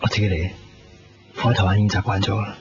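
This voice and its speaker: An actor playing a weary, troubled young man in a Hong Kong Cantonese radio drama, earnest and halting. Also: on the low side, flat delivery, background noise, close to an everyday voice. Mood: reserved. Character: weary, troubled young man leaving his long-time girlfriend. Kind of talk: 1990s radio drama acting. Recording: muffled 1990s radio drama recording. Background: loud music.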